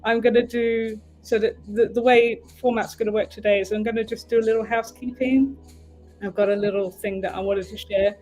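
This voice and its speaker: A woman's voice: fairly high pitch, steady tone, fairly quick, a little mellow, faint music behind, low-quality recording. A woman from the UK with an English accent, hosting a casual livestream as a tarot reader, chatting in a meandering way and breaking off to fix her stream. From United Kingdom.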